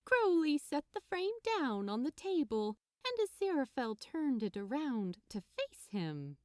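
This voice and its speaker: Slow, expressive woman reading a story. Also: high-pitched, no echo, studio quality. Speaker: fan fiction narrator. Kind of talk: expressive scripted story narration.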